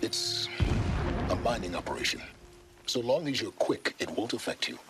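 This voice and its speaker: Loud music swells under a low male voice from an actor. He is an aloof, secretive mastermind in a video game, guiding from a distance. His delivery is terse, commanding and faintly contemptuous, with theatrical gravity. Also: animated, moderate pace, natural voice. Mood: curt, urgent. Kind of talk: dramatic video game acting.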